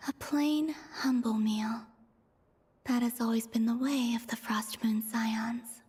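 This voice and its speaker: A woman voicing an aloof, wistful moon goddess in a video game, speaking softly and formally in a breathy, contemplative inner monologue. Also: fairly high pitch, steady tone, slow, heavily acted. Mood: puzzled, aloof.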